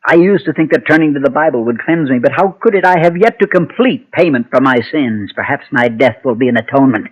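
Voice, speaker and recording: An actor playing a guilt-ridden former preacher and gunfighter, solemn and halting, in a Golden Age radio western. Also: male voice, medium pitch, animated, slightly rough. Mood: resigned. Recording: muffled, thin vintage radio recording.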